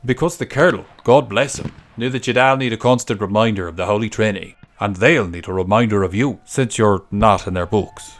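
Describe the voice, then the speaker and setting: A man's voice: on the low side, brisk, few pauses, close to an everyday voice. A man voicing a fiery, exasperated Irish corporal in a war story, blustering and exclaiming with an Irish accent as he lectures his soldiers. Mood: teasing, lecturing. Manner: blustering, exclaiming, lecturing with mock-pious flourishes.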